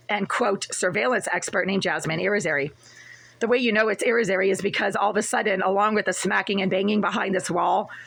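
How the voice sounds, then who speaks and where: A woman tenant with a raspy, breathless voice, recording an agitated complaint in her small apartment bathroom and hallway. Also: mid-range voice, a bit bright. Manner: agitated, relentless, repetitive listing. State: short of breath. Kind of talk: angry first-person complaint vlog.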